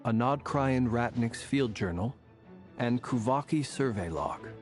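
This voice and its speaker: A man's voice: medium pitch, expressive, a little slow, a little mellow, soft background music, lightly acted. A man voicing a terse, serious warrior in a video game, with a matter-of-fact delivery. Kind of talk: video game cutscene voice acting.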